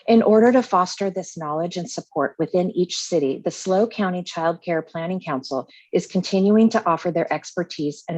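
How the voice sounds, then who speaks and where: Low woman reading a prepared statement. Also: dry recording, rough recording. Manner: steady, formal reading. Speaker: public commenter.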